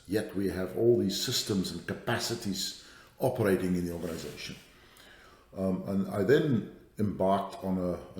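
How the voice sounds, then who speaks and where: Middle-aged South African man, very low voice, unhurried podcast guest, roomy sound. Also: dark tone. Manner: thoughtful, many fillers and restarts. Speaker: guest, safety strategist. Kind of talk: podcast interview.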